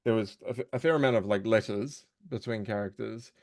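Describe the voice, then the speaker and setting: Man, conversational and thoughtful, lowish voice with vocal fry, tentative phrasing, dry recording. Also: a little fast, dry recording. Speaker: voice actor, guest. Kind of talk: casual conversation.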